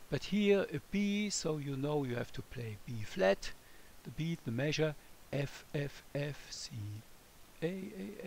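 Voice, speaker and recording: A man from Germany with a German accent, teaching a children's music lesson in simple, deliberate phrases and playfully addressing the listener. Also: on the high side, vocal fry. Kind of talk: scripted children's music lesson, speaking as a melody.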